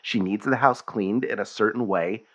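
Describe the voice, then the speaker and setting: A man's voice: lowish voice, normal speed, close to an everyday voice. A man playing a fussy, defensive husband calling an advice show, hesitant and earnest, in improvised comedy. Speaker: caller.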